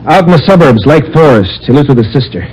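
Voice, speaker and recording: An actor playing a nervous, desperate office worker near breaking point, hesitant and agitated, in old-time radio drama. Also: male voice, medium pitch, expressive, fast, a little mellow, close to an everyday voice. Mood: bitter, desperate. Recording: noisy old radio recording.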